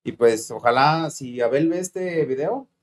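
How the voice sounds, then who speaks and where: A man hosting a casual interview, talking in a relaxed way with lots of fillers. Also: medium pitch, measured pace. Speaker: host.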